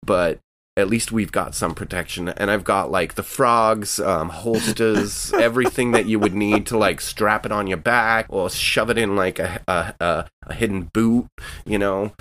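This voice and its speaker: A man's voice: fairly low pitch, unhurried, fairly bright tone. A man playing a tabletop game, talking loosely and rambling with fillers. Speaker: player. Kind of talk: casual tabletop game chat.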